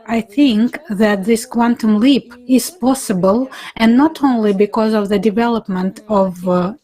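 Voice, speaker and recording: A woman's voice: medium pitch, darkish tone, low-quality recording. A woman speaking earnestly and idealistically in an unscripted panel discussion.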